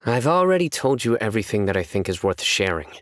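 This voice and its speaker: A man voicing a stern, principled young judge in a video game, reserved, with measured, formal delivery. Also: on the low side, big pitch swings, a bit bright, no room sound, clean studio sound. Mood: guarded, curious. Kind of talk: scripted video game character voice lines.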